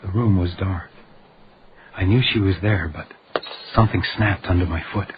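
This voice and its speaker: An actor playing a wry, quietly gallant house detective who narrates a noir radio drama in a dry, understated way. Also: male voice, sits low, normal speed, background noise, close to an everyday voice. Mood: somber narration. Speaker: lead character and narrator. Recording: dull lo-fi audio, music behind.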